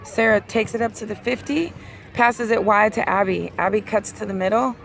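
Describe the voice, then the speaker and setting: A woman doing play-by-play of a youth soccer game outdoors, hoarse and losing her voice. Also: medium pitch. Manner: rapid play-by-play, rising excitedly at key plays. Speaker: amateur sideline commentator. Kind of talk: play-by-play commentary. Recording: outdoor crowd and traffic noise.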